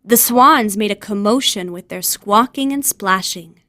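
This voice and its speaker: A woman narrating a scripted vocabulary lesson in a deliberate, instructional way, giving words and their meanings. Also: mid-range voice, pushed and bright. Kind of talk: scripted educational narration, word then definition.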